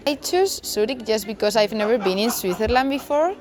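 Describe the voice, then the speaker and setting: A woman's voice: on the high side, lively intonation, fairly quick. A woman in her twenties from Spain with a Spanish accent, a student introducing herself plainly and in a friendly way. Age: 20s.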